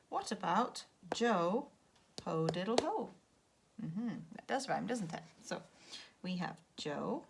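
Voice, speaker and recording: A woman's voice: medium pitch, vocal fry, fairly bright tone, a little hiss or hum. A woman primary school teacher explaining a task to children, often asking simple questions to check they follow. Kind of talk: read-aloud lesson explaining a task to children.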